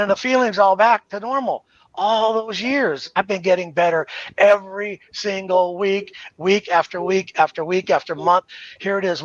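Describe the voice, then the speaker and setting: A man's voice: a little high, a bit bright, no room sound. A man giving a heartfelt, repetitive testimony, close to tears. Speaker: patient sharing a recovery story. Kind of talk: emotional video testimonial. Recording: dull lo-fi dry recording.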